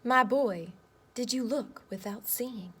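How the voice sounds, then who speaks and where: A woman voicing the Earth as a wise, guiding storybook spirit, speaking emphatically with drawn-out phrase endings. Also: fairly high pitch, a bit bright, full character voice. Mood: gently instructing. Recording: clear background noise.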